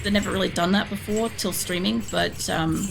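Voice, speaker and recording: A young woman with a hoarse, worn voice, chatting casually and rambling while she streams a game at home, swearing now and then. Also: mid-range voice, fairly even intonation, brisk, noisy background. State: hoarse, losing her voice. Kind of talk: casual chat while playing. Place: home room. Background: game music.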